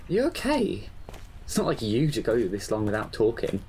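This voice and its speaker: A candid male friend in an audio drama, his intonation very animated, over faint background noise. He sounds concerned and speaks frankly, as a close coworker would. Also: medium pitch, normal speed. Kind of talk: audio horror drama acting.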